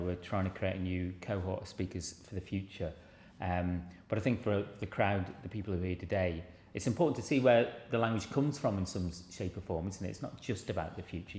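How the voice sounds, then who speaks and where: Low-voiced male interviewer, echoey room. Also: a little mellow. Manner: casual, rambling questions with fillers.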